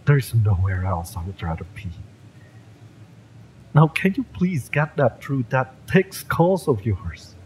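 Man acting as slow, impassioned mercenary lover. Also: medium pitch, expressive, a little mellow, a little hiss or hum, low-quality recording. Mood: exasperated, devoted. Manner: impassioned, emphatic, halting delivery with breathy drops. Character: fiery, devoted male mercenary lover, tough yet tender. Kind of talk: romantic audio roleplay acting.

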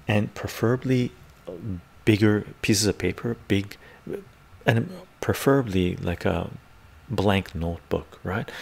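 A middle-aged man with a Canadian accent hosting a livestream, chatting casually in ASMR style in an unhurried, rambling way with frequent "right?" tags. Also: fairly low pitch, vocal fry, breathy edge. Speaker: livestream host. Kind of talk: casual ASMR chat, answering viewers. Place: small book-lined room at home.

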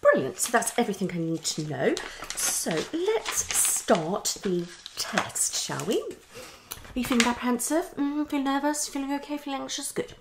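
British woman, creaky and animated ASMR roleplay. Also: high voice, normal speed, background noise. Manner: hasty, theatrical, questioning, with many murmured acknowledgements. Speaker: ASMR roleplayer playing a psychologist. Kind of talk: ASMR roleplay, one-sided consultation. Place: small living room at home, indoors.

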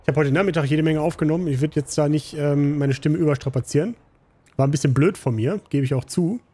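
A slightly hoarse, tired man casually commenting on a game he plays, rambling with many fillers. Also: fairly high pitch, quick pace, warm tone. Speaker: gaming channel host.

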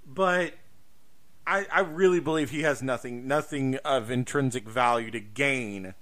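A man's voice: mid-range voice, unhurried, a bit bright. A man hosting a podcast, giving opinions in casual discussion, emphatic and given to swearing and repeating his points. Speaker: podcast host.

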